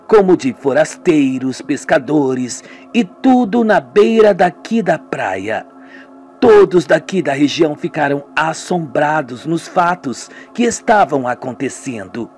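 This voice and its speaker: A man with a Brazilian accent and a husky voice, reading a scripted radio soap and doing all the parts himself. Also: a little high, darkish tone. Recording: music behind the voice. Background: music.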